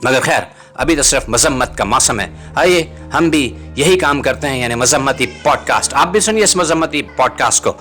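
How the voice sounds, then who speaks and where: A man's voice: mid-range voice, lively intonation, normal speed, few pauses, sharp tone. A man reading scripted political commentary as a host, in a rhetorical, bitingly sarcastic manner. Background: music.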